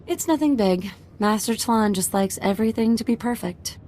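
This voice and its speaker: An actress playing a guarded young assistant in a video game, with a casual but wary way of talking. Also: female voice, on the high side, expressive, normal speed, dark tone, slight background noise, lightly acted. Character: guarded young female assistant working off debt.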